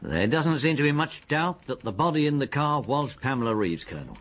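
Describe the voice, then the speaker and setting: An actor with an English accent playing a methodical, deferential police detective in a radio drama, his voice husky. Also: medium pitch, big pitch swings, a bit bright. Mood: matter-of-fact. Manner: deliberate, factual, deferential. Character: methodical, deferential male police detective, confident and matter-of-fact. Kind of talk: British radio drama acting.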